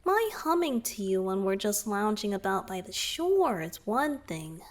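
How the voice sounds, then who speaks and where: A woman's voice: medium pitch, sing-song, a little slow. A woman playing a shy, flustered young merman boyfriend in a romantic ASMR roleplay, speaking hesitantly and earnestly to the listener. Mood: explaining, earnest. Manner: hesitant, flustered, with sighs and murmured asides. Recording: background noise with trickling water.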